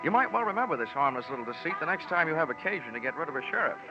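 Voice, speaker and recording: An actor playing a wisecracking, confident American insurance investigator in a vintage radio drama, wry and sardonic. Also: male voice, fairly high pitch, sing-song, rapid-fire, over loud music. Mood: wry narration. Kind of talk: 1940s-50s radio detective acting and narration. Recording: noisy, muffled old radio recording.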